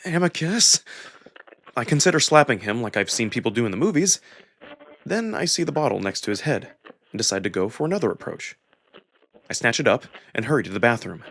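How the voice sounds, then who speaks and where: A man giving an expressive, acted first-person narration of a visual novel. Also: mid-range voice, fast talker. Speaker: narrator and voice actor.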